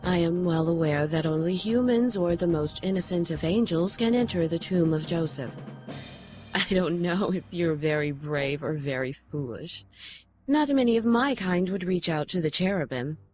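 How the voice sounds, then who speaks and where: A woman's voice: fairly low pitch, moderate pace, darkish tone, soft background music, close to an everyday voice. A woman playing a solemn, regal immortal guardian in an audio drama, speaking in a grave, formal, stately way. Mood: solemn, commanding. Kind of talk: audio drama narration and acting.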